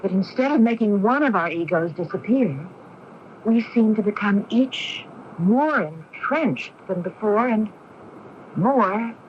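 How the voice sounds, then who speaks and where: A woman's voice: mid-range voice, lively intonation, unhurried, lightly acted. An actress playing a young woman with a split personality in a 1980s radio drama, speaking in a poised, conversational way. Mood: reflective. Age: young adult. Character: young woman with split personality, sporty Jane and aloof Vanity. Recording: noisy, muffled 1980s AM radio recording.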